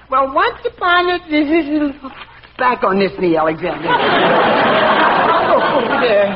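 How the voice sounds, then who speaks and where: A man's voice: extremely high pitch, expressive, drawn-out words, a loud crowd, heavily acted. An American actor playing a flustered, wistful, bumbling father in an old radio comedy, stammering and chuckling nervously. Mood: flustered coaxing. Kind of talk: 1940s radio comedy acting. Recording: muffled, hissy old radio recording.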